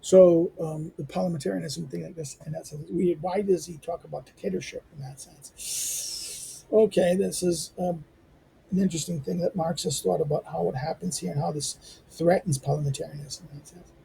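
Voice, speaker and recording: A hoarse man hosting a lecture channel, rambling through his outro with lots of fillers, restarts and asides. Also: steady tone, moderate pace, mellow, a little hiss or hum, poor audio. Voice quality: squeaky. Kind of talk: informal spoken outro to a reading lecture.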